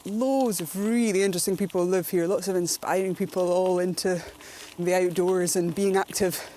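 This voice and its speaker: A Scottish woman talking in a relaxed, reflective way while floating down a river outdoors. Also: on the low side, normal speed, a little hiss or hum. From Scotland. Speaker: presenter, endurance cyclist. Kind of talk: casual outdoor narration.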